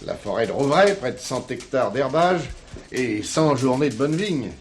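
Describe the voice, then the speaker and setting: An actor playing a jovial, folksy village innkeeper who gossips freely in an old television play. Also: male voice, medium pitch, lively intonation, moderate pace, natural voice. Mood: jovial, gossipy. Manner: garrulous, chuckling, folksy with exclamations. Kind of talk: 1970s French television play dialogue. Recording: noisy old TV soundtrack with clicks.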